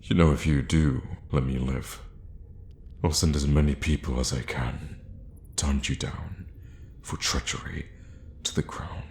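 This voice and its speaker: An extremely deep voice rings in a roomy, echoing space. It belongs to a scheming royal guard, acted by a man, fiercely loyal to his queen and quick to condescend. He speaks in a hushed, theatrical way with deliberate pauses, in the intimate manner of a fantasy ASMR roleplay. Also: fairly even intonation, gravelly, a little hiss or hum, heavily acted. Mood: resigned, defiant. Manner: theatrical, hushed, measured, with sighs and pointed pauses.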